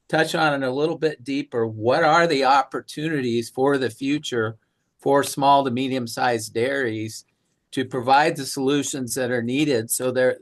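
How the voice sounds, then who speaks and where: A man hosting a panel discussion, asking long, measured questions of his guests. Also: medium pitch. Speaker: host, moderator.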